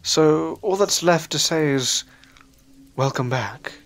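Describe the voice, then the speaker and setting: A man playing a weary, bewildered adventurer in an audio drama, speaking in a halting, earnest way. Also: on the high side. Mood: wistful. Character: weary, bewildered male adventurer returned from limbo, reflective and sincere.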